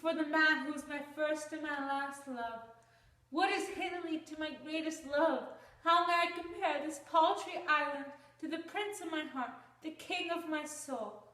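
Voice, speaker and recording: A woman's voice: high voice, normal speed, sharp tone. A woman playing a young romantic wife in an earnest, declamatory Shakespearean-style stage soliloquy. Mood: devoted, resolving. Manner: declamatory, earnest, archaic phrasing. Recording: roomy, distant microphone.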